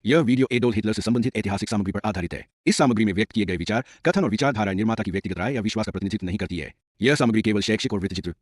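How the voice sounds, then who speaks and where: A man reads a formal notice at a rapid clip, his voice fairly low and neutral. The recording is dry and close, with no room sound around him. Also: no room sound. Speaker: disclaimer narrator. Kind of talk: scripted formal disclaimer reading.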